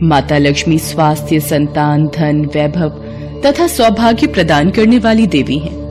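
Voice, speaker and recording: A woman narrator telling a scripted devotional folk tale in a storytelling style. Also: fairly low pitch.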